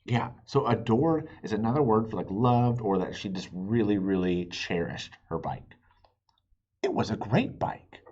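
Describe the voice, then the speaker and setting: A man with a mid-pitched, rough-edged voice reads to children as a storytime host. His delivery is highly animated and expressive, with explanatory asides for young listeners. The recording sounds a little dull and lo-fi. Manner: animated, pausing to explain and ask listeners questions. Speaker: children's read-aloud host.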